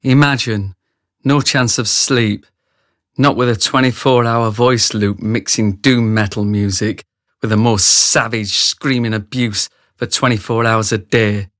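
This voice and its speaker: An actor playing a brutish ex-soldier who narrates a radio drama, speaking straight to the listener. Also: male voice, fairly low pitch, fairly even intonation, measured pace, fairly bright tone, dry recording, studio quality. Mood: grave, confiding. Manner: grave, confiding, addresses the listener directly. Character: remorseful thick brute ex-soldier, male confessional narrator.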